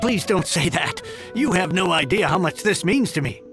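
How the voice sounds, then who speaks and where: A man's voice: high-pitched, lively intonation, normal speed, fairly bright tone, soft background music. A man playing an effusive, formal heir of a fallen merchant family in a video game, speaking earnestly and wordily. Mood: grateful, earnest.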